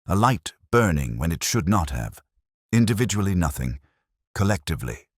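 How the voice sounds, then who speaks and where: A man narrating a detective story in the first person, in a measured, literary and brooding manner. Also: low-pitched, very animated, husky, no room sound, studio quality.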